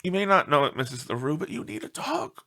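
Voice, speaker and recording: A man voicing a self-pitying, melodramatic pet dog in a storybook, with theatrical, mournful delivery and breathy sighs. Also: on the high side, wildly swooping pitch, quick pace, bright, forceful tone, full character voice. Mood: suffering, dramatic. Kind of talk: storybook read-aloud character.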